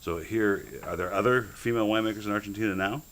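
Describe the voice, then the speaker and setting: A middle-aged man hosting a casual interview, chatty and enthusiastic with quick reactions. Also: fairly low pitch, fairly bright tone. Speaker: show host.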